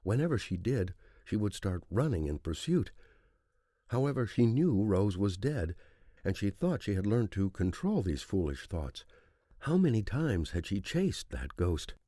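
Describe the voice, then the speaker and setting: A man's voice: lowish voice, very animated, unhurried, warm tone, dry recording, clean studio sound. A man reading scripted dramatic narration of a thriller audiobook.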